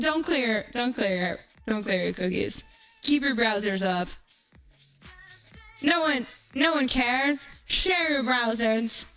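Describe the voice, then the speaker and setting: A woman with a fairly high voice speaks very slowly, stretching words out for dramatic, teasing effect. She addresses her stream like a mock-serious monologue, sometimes slipping into breathy whispers, with music playing behind her. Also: pushed and bright. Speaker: livestreamer. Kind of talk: theatrical tongue-in-cheek monologue to livestream viewers.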